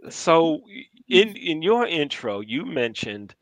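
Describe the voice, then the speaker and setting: A man's voice: a little high, very animated, takes it slowly, fairly bright tone, no echo, rough recording. A man hosting a conversational interview, repeating himself for emphasis and often checking that the listener follows. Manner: emphatic repetition, checks in with "right?". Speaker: interview host.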